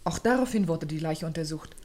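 A woman's voice: low-pitched, lively intonation, brisk, darkish tone. An actress playing an anxious, well-bred young lady in a German radio play, speaking earnestly and formally with careful articulation. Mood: earnest, troubled.

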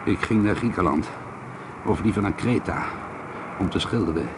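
A man's voice: low-pitched, moderate pace. An actor playing a troubled, bitter schoolteacher and painter in a radio drama, hesitant and confiding, repeating himself as he broods. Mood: reflective narrating. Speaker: lead actor and first-person narrator. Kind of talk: radio drama monologue and dialogue. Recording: noisy old radio play recording.

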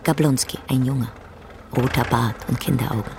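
An actor as a terse radio play narrator, hushed and husky, reading stage directions in deliberate, clipped phrases. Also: male voice, medium pitch, fairly even intonation, background noise, natural voice. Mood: terse, detached. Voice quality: husky, breathy.

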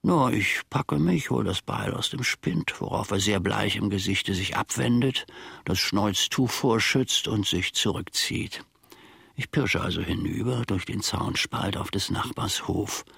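An actor playing a grumbling, self-important old manservant in a German radio play, husky and gravelly, telling his grievances in halting, mock-formal phrases. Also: fairly low pitch, fairly bright tone, dry recording, clean studio sound, exaggerated voice. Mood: wry, indignant storytelling.

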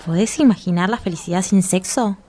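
An actress playing a questioning, sharp-tongued woman in radio drama dialogue, challenging and inquisitive. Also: medium pitch, a little fast, close to an everyday voice. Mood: probing.

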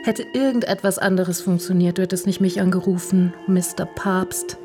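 Actress as cool, low-voiced teasing film star. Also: female voice, normal speed, over music, natural voice. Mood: taunting, intimate. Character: proud, teasing, commanding film actress and former lover. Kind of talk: German radio drama acting.

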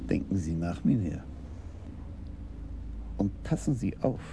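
An actor playing a principled police commissioner in a German radio crime drama, questioning in a measured, terse way. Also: male voice, low voice, lively intonation, warm tone, full character voice. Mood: grave, disapproving. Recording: clearly noisy 1980s radio drama recording.

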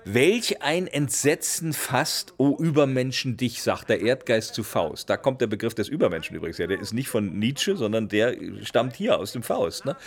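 A man's voice: medium pitch, lively intonation, moderate pace, few pauses. A man talks in a middle register, explaining literature in a casual, spontaneous way. He is emphatic, often checks that he is followed, and slips into declaimed quotation. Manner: emphatic, tags remarks with checking questions, quotes verse theatrically.

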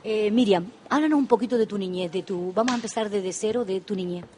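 A woman's voice: medium pitch, fast talker, a little mellow. Lady radio host chatting with guests, super affectionate and gushing, bit of noise behind her. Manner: effusive, affectionate, repeats and restarts phrases. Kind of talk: live radio interview.

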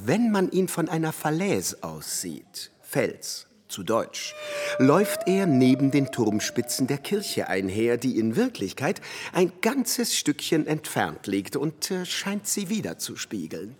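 Slow, wildly swooping pedant, a scholarly lecturer with halting delivery, radio drama in a reverberant hall. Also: male voice, medium pitch, big pitch swings. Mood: absorbed, lecturing. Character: pedantic scholarly man, absorbed in place-name etymologies. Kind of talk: literary radio drama acting.

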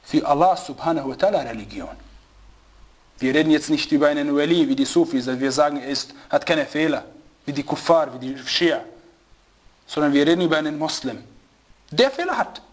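Male religious teacher, high voice, emphatic, repeats points and quizzes his class. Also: animated, a little fast, lo-fi sound. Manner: emphatic, repeats key phrases, asks rhetorical questions; searches for German words and asks students. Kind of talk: religious lesson to students.

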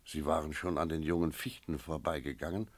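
An actor playing a sober village innkeeper who tells an eerie tale in a measured, reflective radio drama style. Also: male voice, extremely low pitch, fairly even intonation, no room sound. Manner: measured, reflective, literary storytelling. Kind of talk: radio drama narration and dialogue.

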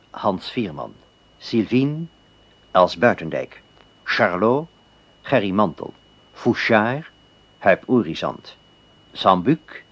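A male announcer reading the closing credits in a measured, formal way. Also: lowish voice, husky. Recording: dull lo-fi broadcast recording.

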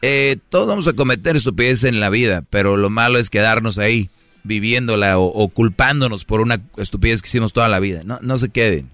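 A male radio host, talking bluntly and with jokes. His voice is bright and forceful. Soft music plays underneath. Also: lowish voice, fairly quick, dry recording. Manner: blunt, joking, speaks to listeners as brody. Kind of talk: casual radio call-in talk.

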